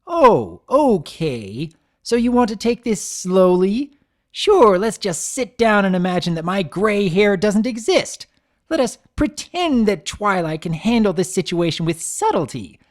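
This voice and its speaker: A woman's voice: mid-range voice, voice pushed up, lightly acted. A woman voicing a fussy young female unicorn who is indignant and stresses every word.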